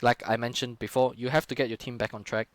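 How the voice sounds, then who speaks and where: A man's voice: mid-range voice, level tone, fast, no echo. Casual man breaking down gameplay. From Singapore. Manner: casual, frequent swearing and fillers. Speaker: streamer and in-game leader. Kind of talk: casual live-stream game analysis.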